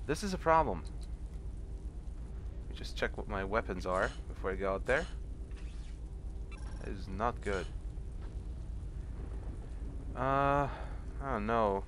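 A man's voice: fairly low pitch, expressive, measured pace, pauses often, fairly bright tone. Young man, cold-stuffed voice, casual game commentary, swearing, audible background noise. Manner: swearing, sarcastic, talks to enemies on screen. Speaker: let's play commentator. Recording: home recording with clear background noise.

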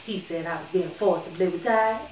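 A woman performing a stage monologue as a sassy, candid retired call girl who reminisces fondly with playful, dramatic pauses. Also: on the low side, darkish tone, lightly acted. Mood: amused. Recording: echoey room, distant mic, background noise.

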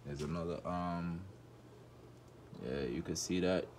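A man, walking through his unfinished basement with a phone and casually narrating his renovation work in a relaxed, rambling way. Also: low-pitched, unhurried, slight background noise. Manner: relaxed, rambling, informal speech. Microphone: phone camera held while walking.

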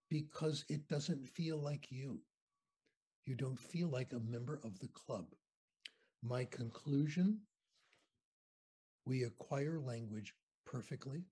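An older American man, a guest on a video call interview, telling anecdotes in an animated, conversational way from a home room. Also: medium pitch, slightly rough. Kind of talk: storytelling lecture on a video call. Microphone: video call webcam.

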